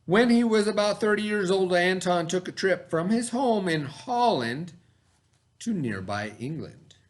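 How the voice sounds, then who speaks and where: A man reading aloud as a teacher in an expressive educational style, pausing to explain and repeat key words for children. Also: sits high.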